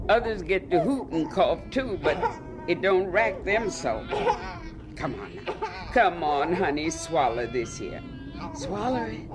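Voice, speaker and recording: A woman's voice: low-pitched, full character voice. An actress playing a weary, anxious enslaved mother with a Southern American accent, emotional and tearful, in a radio drama.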